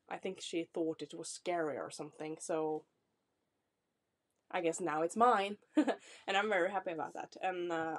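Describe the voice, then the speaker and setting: A young Norwegian woman in her twenties with a Norwegian accent, chatting casually to camera about herself, apologetic and reassuring. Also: low-pitched. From Norway. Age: 20s. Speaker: vlogger. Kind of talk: casual self-introduction to camera. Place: small decorated room indoors.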